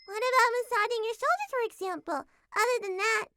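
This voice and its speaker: A woman's voice: very high-pitched, fairly quick, pushed and bright. A woman voicing a lonely, timid young daughter in a manga dub, with a whiny, hesitant way of talking. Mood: eager. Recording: noticeable background noise.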